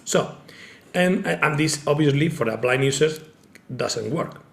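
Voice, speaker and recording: A man from Spain with a strong Spanish accent giving an online presentation, halting and peppered with "okay". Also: a little high, lively intonation, creaky, reverberant. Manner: halting, fillers like okay and eh, searches for words. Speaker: tech company founder presenting. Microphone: video call.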